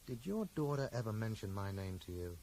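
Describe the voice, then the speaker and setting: An actor playing a dogged, dry-witted bookseller who questions people like a detective, direct and wry, in a radio drama. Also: male voice, fairly low pitch, big pitch swings, a little fast, dark tone. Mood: probing, insistent. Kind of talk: 1980s radio drama acting. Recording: noisy 1980s radio broadcast.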